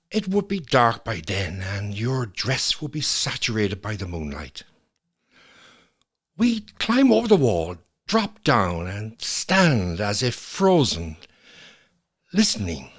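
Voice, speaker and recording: Man playing a slow, wistful old Irish widower. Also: on the low side, fairly bright tone, exaggerated voice. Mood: wistful, enchanted. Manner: wistful, lyrical, measured with lingering pauses. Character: lonely, nostalgic old widower, quietly ruminating. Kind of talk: dramatic monologue, scripted first-person storytelling.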